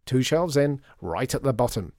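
A man voicing an eager, excitable shop helper in a storybook, keen and emphatic. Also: mid-range voice, normal speed, no echo, clean studio sound, voice pushed up, lightly acted. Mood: excited. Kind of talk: storybook character voice.

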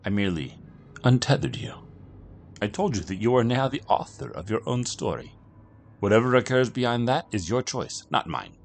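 A man voicing a calm, formal, mysterious European stranger with measured diction. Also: medium pitch, pitched above the performer's own voice.

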